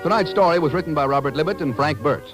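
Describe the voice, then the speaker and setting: A man's voice: a little high, fast talker, over music, rough recording. An American man working as a 1950s radio announcer, reading scripted copy in a polished, emphatic way on a vintage broadcast.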